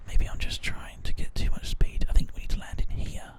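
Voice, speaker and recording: A man softly whispering rambling ASMR commentary over a video game, in a breathy, gentle voice. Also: a little fast. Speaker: gaming ASMR host.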